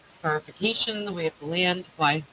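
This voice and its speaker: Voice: mid-range voice, normal speed, over a noisy crowd, rough recording. A speaker with a Massachusetts accent chatting on a paranormal panel, laughing often and spelling words out. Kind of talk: casual panel chat.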